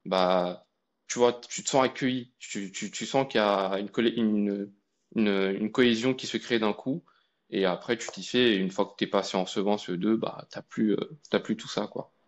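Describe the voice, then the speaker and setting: Relaxed young man, low voice. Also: normal speed, lo-fi sound. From India. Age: young adult. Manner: relaxed, colloquial, many fillers and restarts. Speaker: guest, AI researcher. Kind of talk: casual conversation.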